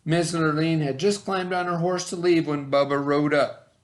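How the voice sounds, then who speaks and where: A man reads a children's storybook aloud. His voice sits a bit higher than most men's. The narration is expressive, shaped for young listeners. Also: normal speed. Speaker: storybook reader.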